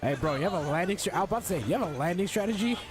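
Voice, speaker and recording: A man's voice: high-pitched, lively intonation, very fast. A man giving casual, slangy, joking gaming commentary as he streams a story quest. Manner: slangy, joking, reads on-screen text aloud. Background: game music.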